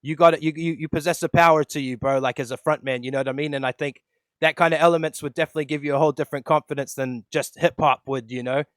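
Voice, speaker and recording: Casting: laid-back American man, podcast host, smooth clear voice, slightly high, dry close sound. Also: steady tone, fast talker, no echo. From Los Angeles, USA. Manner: laid-back, says "bro" constantly. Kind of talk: casual interview over video call. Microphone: video call.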